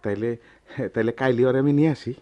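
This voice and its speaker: An actor playing a gentle, principled middle-aged village goldsmith and father in a radio drama, speaking earnestly in colloquial rural Bangladeshi Bengali. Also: mid-range voice, fast, dry recording, muffled recording, lightly acted. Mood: humble, hesitant.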